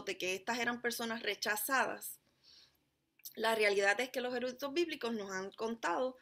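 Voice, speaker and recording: Measured Puerto Rican woman preaching. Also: a little high, sharp tone. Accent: Puerto Rican Spanish. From Puerto Rico. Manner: measured, emphatic preaching with deliberate slowed phrases. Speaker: pastor. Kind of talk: sermon.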